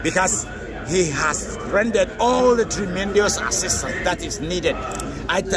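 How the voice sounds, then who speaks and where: A man's voice: high voice, expressive, a little slow, over loud music. A man with a Nigerian accent, a political activist giving emphatic, grandiose street-interview answers outdoors. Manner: emphatic, grandiose, repeats himself. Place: outdoors on an urban street near traffic.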